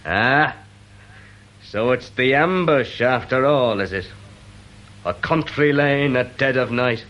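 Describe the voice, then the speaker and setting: An actor playing a proud, fatalistic Irish republican captive in a radio drama, speaking in a theatrical way with an Irish accent. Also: male voice, mid-range voice, slow pace, a bit bright. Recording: dull lo-fi old radio recording.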